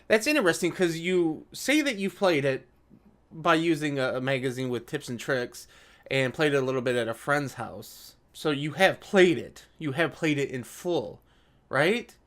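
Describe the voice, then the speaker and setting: Higher-pitched man doing sarcastic commentary. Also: expressive, normal speed, a bit bright. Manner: sarcastic, argumentative asides. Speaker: video host and commentator. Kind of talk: casual reaction commentary while reading an article aloud.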